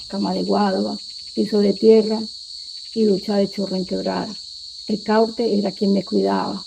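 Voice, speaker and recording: A woman in her fifties with a Colombian accent from Medellín gives a prepared autobiographical talk. Her voice is mellow and husky, with a gravelly fry. She tells her story in measured, short phrases. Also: mid-range voice, rough recording. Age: 50s. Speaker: speaker telling a personal life story.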